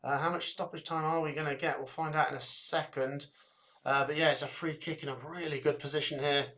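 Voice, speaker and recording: A man giving live fan commentary on a match, excitable and shouting at goals, his voice going hoarse and breathless. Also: on the high side, brisk. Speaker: watchalong host commentator.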